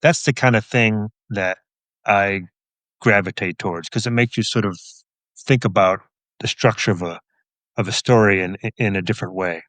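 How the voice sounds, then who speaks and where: Middle-aged male author guest: lowish voice, dry close sound, understated casual delivery. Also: moderate pace, fairly bright tone, no echo. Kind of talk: casual chat.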